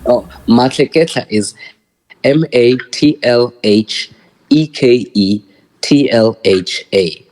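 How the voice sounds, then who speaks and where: A man's voice: mid-range voice, slow, a little mellow, rough recording. Laughing South African man in his thirties. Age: 30s. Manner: friendly, laughs often, repeats words. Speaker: poet, guest. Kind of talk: casual interview.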